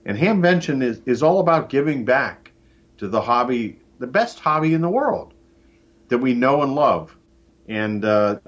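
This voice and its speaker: A man answering as an interview guest in a deliberate, earnest, conversational way with frequent pauses. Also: mid-range voice. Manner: deliberate, earnest, pausing often. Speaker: interview guest, event organizer. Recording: muffled, band-limited call-in audio.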